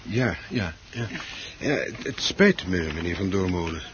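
A man's voice: low-pitched, animated, a little slow. Hemming, apologetic club chairman, swooping intonation. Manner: hesitant, hemming, formally polite. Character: apologetic, officious club chairman, politely evasive man. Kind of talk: radio play acting. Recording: dull, slightly noisy old radio recording.

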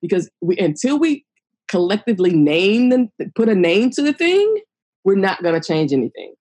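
A woman's voice: medium pitch, very animated, moderate pace, darkish tone, husky. A woman hosting a podcast, talking candidly and emphatically, driving her points home by repeating them. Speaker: podcast host. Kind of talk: candid conversational podcast talk.

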